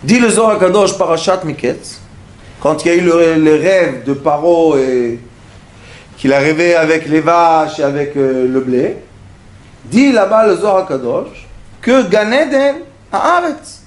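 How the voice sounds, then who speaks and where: High-voiced rabbi teaching in an echoey hall. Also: male voice, expressive, unhurried. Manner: storytelling, acts out little dialogues, rhetorical questions. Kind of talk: Torah lesson. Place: lecture hall. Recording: echoey room.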